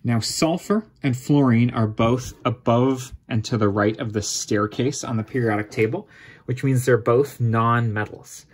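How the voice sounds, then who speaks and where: A man's voice: medium pitch, a little slow, slight background noise. A man teaching chemistry, explaining step by step in an informal tutorial style.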